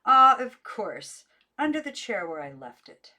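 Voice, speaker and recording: A woman's voice: on the high side, sing-song, a little slow, pushed and bright, pitched above the performer's own voice, full character voice. A woman voicing a fussy, mysterious unseen woman in a storybook reading. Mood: relieved. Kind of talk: audiobook character voice.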